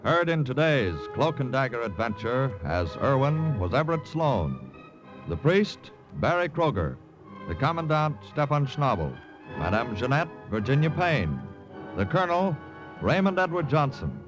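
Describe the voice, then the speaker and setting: A man's voice: medium pitch, big pitch swings, unhurried, music in the background, poor audio. An American man working as a radio announcer, reading scripted credits and promos in 1950s style.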